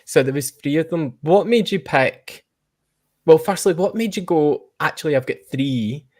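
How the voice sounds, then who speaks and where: A man's voice: on the high side, normal speed, darkish tone. A man hosting a podcast interview casually, feeling his way through questions and rephrasing them as he goes. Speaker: podcast host.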